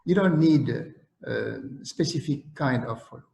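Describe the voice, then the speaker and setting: A man with a Senegalese accent giving an online lecture on surgery, speaking deliberately and halting with fillers. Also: medium pitch, lively intonation, gravelly, darkish tone, echoey room.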